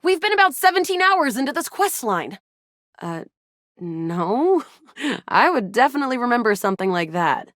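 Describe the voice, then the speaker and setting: A feisty video game heroine with a sardonic, slangy edge and a loyal streak. Her delivery swings freely in pitch, and the sound is close and dry. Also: very animated, normal speed, fairly bright tone, no echo. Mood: confident, wry. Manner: casual, wry, slangy. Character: feisty, sardonic sci-fi gamer heroine, loyal but guarded. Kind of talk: video game character acting.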